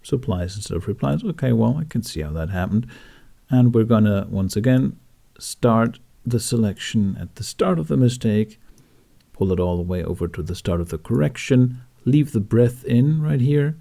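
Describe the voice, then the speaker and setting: A man talking through a software tutorial in a casual, informal way with wry asides. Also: medium pitch, animated, normal speed, gravelly. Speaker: tutorial host.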